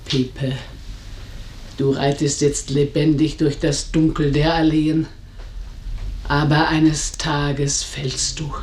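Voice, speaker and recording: A woman's voice: sits low, exaggerated voice. An actress playing a tyrannical older mother, a proud village matriarch, in a German radio drama, with a severe, commanding, declamatory delivery. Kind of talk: German radio drama, theatrical tragic acting. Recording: roomy, echoing radio studio sound.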